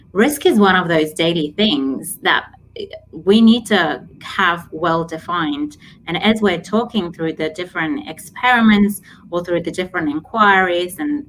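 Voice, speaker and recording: A woman's voice: on the low side, a bit bright, slight background noise, poor audio. A woman hosting a live stream, talking casually about organizational change with frequent filler words. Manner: uses filler words, reads viewer comments aloud.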